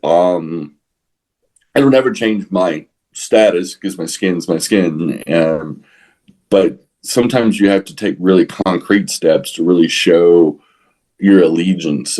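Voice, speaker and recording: Man, Texas accent, deep voice, podcast co-host, casual opinionated talk, halting, repetitive phrasing. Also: dark tone. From San Antonio, Texas. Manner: halting, repeating words and rephrasing.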